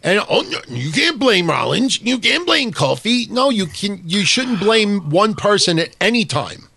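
A man hosting a casual wrestling podcast, talking in a quick, emphatic way, his voice a little hoarse. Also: sits high, sing-song, sharp tone. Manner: quick, emphatic, talks over co-host. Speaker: podcast host.

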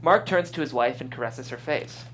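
A woman's voice: very deep voice, normal speed. Woman hosting and narrating over noticeable hum. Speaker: host and stage-direction reader. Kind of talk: podcast hosting and scripted narration.

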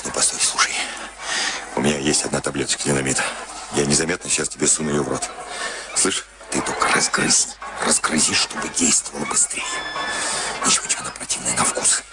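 An actor playing a gruff boxing cornerman in a Soviet radio play, colloquial, urging and impatient. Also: a bass voice, wildly swooping pitch, brisk, music in the background, full character voice. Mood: coaxing, conspiratorial. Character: gruff, coaxing boxing cornerman goading his fighter. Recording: very noisy old radio drama recording.

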